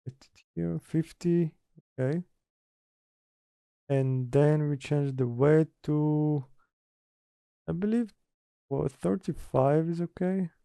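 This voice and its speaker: A man giving a casual software tutorial, hesitant and thinking aloud as he works. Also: on the high side, takes it slowly, gravelly, long pauses, smooth, dry recording, clean studio sound. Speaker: tutorial presenter. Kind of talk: casual software tutorial, talking through steps.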